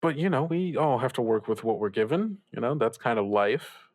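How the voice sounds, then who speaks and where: Radio mentor, male, acted: conversational, rambling, kindly but evasive, average pitch, very little room sound. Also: expressive, no room sound, studio quality, lightly acted. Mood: encouraging. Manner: halting, rambling, stammering, gently advising. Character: reassuring older male radio mentor, upbeat, evasive about troubles. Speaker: student radio show host. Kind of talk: improvised actual-play audio drama, conversational.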